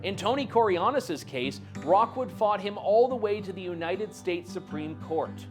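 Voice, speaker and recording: A man's voice: high-pitched, animated, normal speed, music in the background. A man narrating a documentary in a scripted, pointed, accusatory delivery.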